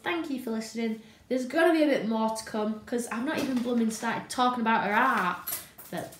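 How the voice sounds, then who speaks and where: A woman's voice: on the high side, fairly quick, fairly bright tone, slight background noise. British woman chatting in a roomy space. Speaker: presenter. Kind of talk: casual chat to camera. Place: indoor room at home. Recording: roomy home sound.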